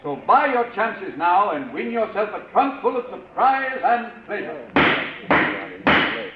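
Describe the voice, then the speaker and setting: An actor playing a florid charity auctioneer with salesmanlike patter in a 1940s radio drama. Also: male voice, high-pitched, very animated, slow, heavy background noise, heavily acted. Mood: showy, teasing. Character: showy charity auctioneer working up a crowd. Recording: tinny, echoing old 1940s radio recording.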